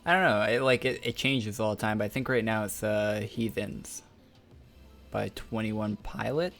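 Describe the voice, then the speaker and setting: Young male streamer, low gravelly voice, faint music underneath, relaxed rambling chat. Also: normal speed. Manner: laid-back, rambling, laughs often. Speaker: game streamer. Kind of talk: casual stream chat reading viewer questions.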